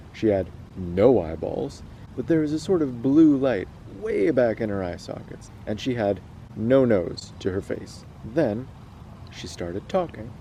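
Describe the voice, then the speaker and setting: Mellow-voiced man reading stories aloud. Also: on the low side, a little hiss or hum, rough recording. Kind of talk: storytelling read aloud with casual chat.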